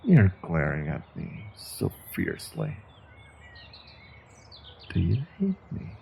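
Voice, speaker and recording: Guy doing an evil sorcerer for fantasy audio, low gravelly voice, controlled and condescending, swoops around a lot. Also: huge pitch swings, a little mellow, slight background noise, exaggerated voice. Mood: menacing, taunting. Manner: deliberate, theatrical, condescending. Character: menacing, controlled evil male sorcerer, captor taunting prisoner. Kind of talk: scripted fantasy audio roleplay, intimate second-person monologue.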